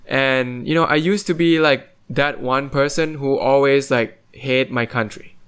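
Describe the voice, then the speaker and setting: A man's voice: medium pitch, a little slow, fairly bright tone, smooth. A young man from Thailand, hosting a casual podcast chat and rambling along with lots of fillers and restarts. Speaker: podcast host.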